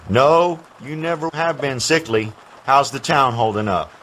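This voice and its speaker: A fatherly old mentor, played by a man in an audio drama, warm and reassuring. Faint noise hums behind him. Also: medium pitch, unhurried, natural voice.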